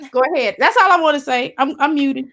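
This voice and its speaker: High, bright woman making her point. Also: normal speed, dry recording. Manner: emphatic, repeats herself for the record. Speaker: panel guest. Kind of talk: casual panel chat. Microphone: video call.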